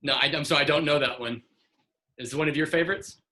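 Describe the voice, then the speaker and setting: Bright, energetic man in his sixties. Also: fairly high pitch, fast. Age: 60s. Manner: encouraging, earnest. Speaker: author guest. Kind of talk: casual video call chat. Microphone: video call.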